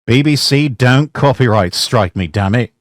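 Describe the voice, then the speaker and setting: A man's voice: mid-range voice, lively intonation, unhurried, no echo. A man hosting a casual reaction show, talking loosely with fillers and profane asides into a close mic. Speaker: host reacting to clips.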